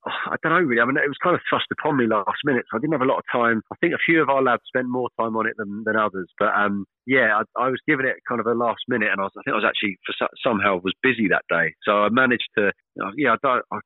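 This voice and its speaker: A man in his thirties, a professional cricketer, talking casually in an interview, fairly monotone and hesitant with many fillers and restarts. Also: mid-range voice, very fast, few pauses, no echo. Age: 30s. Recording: tinny dry phone line.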